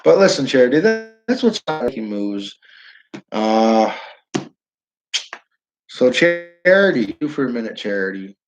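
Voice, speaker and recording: A man's voice: mid-range voice, big pitch swings, unhurried, pauses often, over music, rough recording. A man with a northern New York accent hosting a casual livestream, rambling, restarting sentences and talking to viewers by name. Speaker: livestream host. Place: his downtown building.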